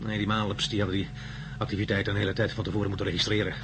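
A fast-speaking male astronaut, voiced by an actor in a noisy spacecraft cabin. He is intense and questioning, insisting on his doubts in radio drama style. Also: on the low side, little pitch movement, natural voice. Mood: sceptical, insistent. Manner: quick, insistent, sceptical. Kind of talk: science fiction radio drama acting.

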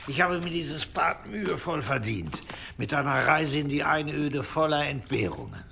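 A man's voice: on the high side, animated, normal speed, creaky, music in the background. An actor playing a pompous, impatient medieval bishop in a radio drama, grumbling and fond of his comforts. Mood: irritated, imperious. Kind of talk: German radio drama acting.

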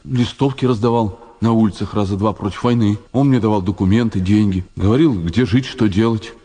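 A man's voice: lowish voice, fairly quick, dark tone, slightly rough, long reverb tail. An actor playing a frightened, naive convict in a radio drama, rambling and plaintive as he insists on his innocence. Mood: subdued recalling. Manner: rambling, plaintive, jittery, colloquial. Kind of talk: Soviet radio drama acting.

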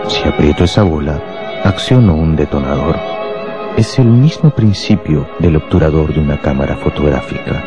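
An actor playing a smug, contemptuous anarchist bomb-maker in a radio drama, speaking deliberately. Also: male voice, a bass voice, animated. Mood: menacing, boastful. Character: small shabby anarchist bomb-maker, fanatical, contemptuous, boastful. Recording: loud music behind the voice.

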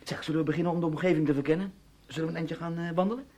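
An actor in a radio drama playing a nervy, suspicious husband with an insistent, chattering manner. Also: male voice, on the high side, fairly even intonation, racing, darkish tone, lightly acted. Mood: uneasy. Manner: chattering, insistent, seeks agreement with tags. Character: nervy, suspicious husband, falsely hearty, quick to accuse.

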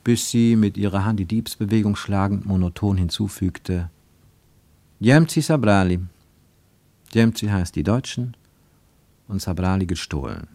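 Male narrator for literary prose, low-pitched voice, very dry studio sound. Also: steady tone, moderate pace, mellow, dry recording. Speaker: narrator reading a literary story.

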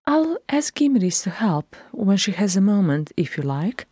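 A woman voicing a calm, motherly elder dwarf sister who coaxes and soothes. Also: mid-range voice, moderate pace, husky, dry recording, clean studio sound, pitched above the performer's own voice. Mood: soothing.

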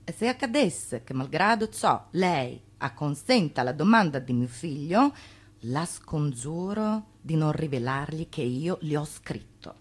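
An actress playing a stern, grave mother in a radio drama, reading in a measured, formal manner. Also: sits low, lively intonation, breathy edge, heavily acted. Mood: pleading. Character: stern, grave mother writing a warning letter.

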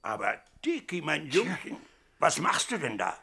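An older man playing a grumbling, fretful old gangster grandpa with a cracked, raspy voice in a 1960s German radio comedy. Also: a little high, wildly swooping pitch, normal speed, pushed and bright, slight background noise, exaggerated voice.

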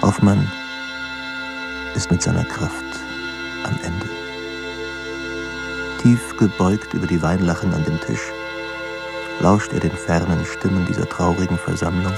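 A man narrating a literary radio drama in a measured, solemn way, pausing between phrases. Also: low-pitched, steady tone. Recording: studio narration over clear music. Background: music.

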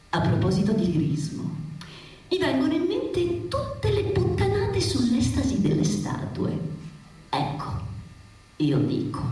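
A woman's voice: high voice, mellow, heavily acted. A woman performing a dramatic stage monologue as a defiant, sensual, ironic woman. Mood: ironic, musing. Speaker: actress reciting monologues. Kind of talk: theatrical monologue set to music. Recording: echoey distant stage sound with music.

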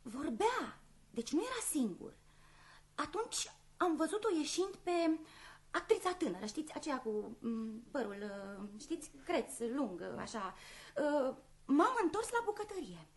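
A chatty housemaid in a radio play, her high voice swinging up and down. She is eager and a little self-important, searching for words as she confides. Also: big pitch swings. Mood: confiding, hesitant.

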